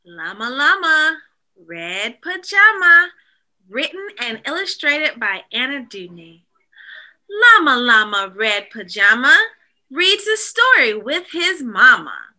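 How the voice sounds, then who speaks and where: Bright high-pitched woman reading to kids. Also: slow pace. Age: adult. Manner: expressive, addressing young children directly. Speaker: teacher reading aloud to young children. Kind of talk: animated read-aloud storytelling for children.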